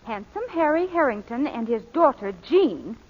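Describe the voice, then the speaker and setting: A dutiful young daughter in a 1940s radio play. Her girlish voice is extremely high and swings eagerly up and down. The old broadcast recording sounds muffled. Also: sing-song, moderate pace, big-room sound. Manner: girlish, singsong when reading aloud.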